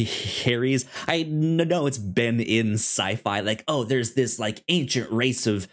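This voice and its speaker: A man's voice: medium pitch, measured pace. A man co-hosting a podcast, casually recapping a show and often rephrasing himself as he explains. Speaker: podcast co-host.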